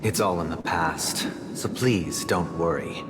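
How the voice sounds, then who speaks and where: Deep, solemn, eloquent idealist. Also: male voice, background noise. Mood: solemn, persuasive. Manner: measured, formal, grandiloquent. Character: solemn, eloquent, haunted idealist man with authoritarian convictions. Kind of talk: scripted dramatic video game dialogue. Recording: long hall reverb, game music behind.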